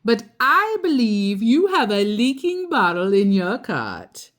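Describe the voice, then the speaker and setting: A woman's voice: fairly high pitch, huge pitch swings, slow, a bit bright, pitched above the performer's own voice, heavily acted. A woman voicing a grumbling, self-important father bear from a storybook, indignant and emphatic. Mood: politely insistent. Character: grumbling, indignant father bear, pompous and set in his ways.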